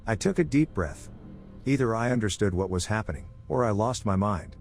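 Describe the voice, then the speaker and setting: A man's voice: lowish voice, lively intonation, fairly quick, darkish tone, soft background music. A man reading a scripted first-person horror story as its narrator.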